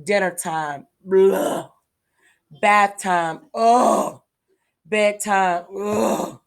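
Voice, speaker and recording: A woman voicing an earnest, affectionate little girl in a children's storybook, with expressive, wide-eyed delivery. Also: mid-range voice, extremely slow. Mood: grumbling. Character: earnest, affectionate little girl telling of her new pet. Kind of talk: children's storybook read-aloud.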